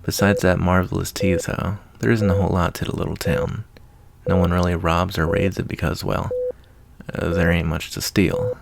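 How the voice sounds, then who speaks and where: A man acts out a kindly wasteland doctor for an ASMR roleplay, in a low voice with a gravelly fry. He is soft-spoken, folksy and reassuring, and his tone moves around with easy expression. Loud noise runs behind him. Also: steady tone, normal speed. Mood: easygoing.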